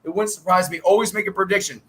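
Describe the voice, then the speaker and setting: A middle-aged man coaching traders on a live chat, talking in brisk salesy patter while thinking aloud, and he is losing his voice. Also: sits high, a bit bright, low-quality recording. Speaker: trading coach.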